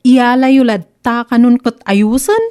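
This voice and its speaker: A woman's voice: a little high, fairly even intonation, unhurried, dark tone, lightly acted. A woman with a Filipino Ilocano accent playing an inquisitive, disapproving neighbour friend in a comedy radio drama.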